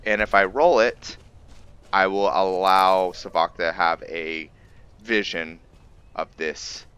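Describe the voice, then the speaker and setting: A man's voice: low voice, fairly even intonation, takes it slowly, fairly bright tone, clean tone, highs cut off. A man giving casual let's play commentary, musing and explaining as he goes. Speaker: host of a gaming roleplay series.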